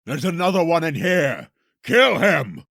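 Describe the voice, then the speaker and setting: A man's voice: a little high, sing-song, measured pace, vocal fry, fairly bright tone, full character voice. A man playing a menacing tyrant king in a fantasy audio drama, declaiming grandly in an echoing hall. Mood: furious, commanding. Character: menacing tyrant king addressing his guests. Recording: large echoing hall with long reverb.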